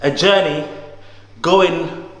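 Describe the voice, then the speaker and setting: A man's voice: fairly high pitch, steady tone, very slow, a bit bright. A man in his thirties, a guest speaking in a casual conversation with an emphatic, slangy, motivational way of talking. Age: 30s. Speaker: guest, entrepreneur. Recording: echoey room with long reverb.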